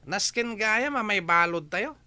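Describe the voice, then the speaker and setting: A man's voice: high-pitched, animated, unhurried, sharp tone, clean tone, dry recording, full character voice. A man playing an earnest, devout prisoner who encourages repentance in an Ilocano radio drama.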